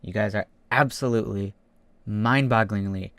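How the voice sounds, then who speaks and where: A man's voice: on the low side, normal speed, no room sound. A man hosting a YouTube video, speaking in an informal, self-deprecating way as he narrates and chats. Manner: informal, self-deprecating, animated when reading. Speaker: YouTube host reading fan fiction. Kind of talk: casual YouTube narration and chat.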